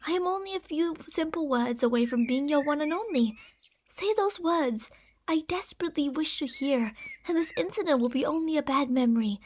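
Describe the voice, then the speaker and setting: Female performer as obsessive serpent woman for ASMR roleplay; high voice, soft formal delivery, soothing with a deceitful, possessive edge. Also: lively intonation, fairly quick, slight background noise. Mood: devoted, pleading. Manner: soft, formal, soothing speech with breathy whispers.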